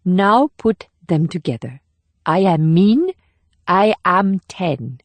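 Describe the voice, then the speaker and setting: A woman plays a young female operative in a thriller audiobook, gentle yet guarded and burdened. The voice is measured and a little low, recorded very dry. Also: lively intonation, no room sound. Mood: patient, gentle. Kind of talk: scripted audiobook dialogue.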